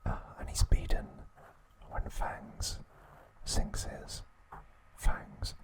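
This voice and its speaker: A man hosting ASMR, narrating a recap in a breathy, hushed whisper with short, halting phrases. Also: poor audio. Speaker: ASMR host.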